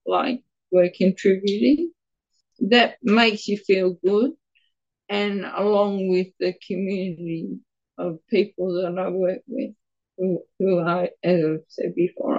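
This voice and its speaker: A woman, a guest on an interview, speaking in a quiet, gravelly voice and stumbling a little over her words. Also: medium pitch, dull audio. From Australia. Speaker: guest with Parkinson's. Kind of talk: casual interview answers.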